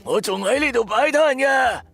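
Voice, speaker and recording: A man voicing a sly, wheedling old street fortune-teller in an audio drama, with theatrical drawn-out calls. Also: very high-pitched, lively intonation, creaky, a bit bright, no echo, soft background music, voice pushed up, exaggerated voice. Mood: insistent warning. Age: older. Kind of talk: Cantonese audio drama character voice.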